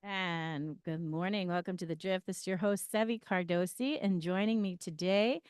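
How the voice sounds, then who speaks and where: A chatty woman hosting a radio show, her voice smooth and clean. She talks close to the studio mic in a dry, dead room with no echo. She laughs easily between questions. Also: on the low side, normal speed. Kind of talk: casual radio interview.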